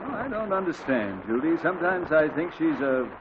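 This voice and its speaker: A man's voice: a little high, big pitch swings, normal speed. An actor playing an exasperated, blustering middle-aged father in a 1940s radio comedy, heard through a tinny, hissy old radio recording. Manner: blustery, indignant.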